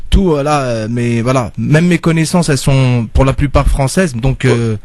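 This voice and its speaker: A man's voice: medium pitch, moderate pace, a little mellow. A male guest from France in a radio studio debate who presses his point with repeated short retorts.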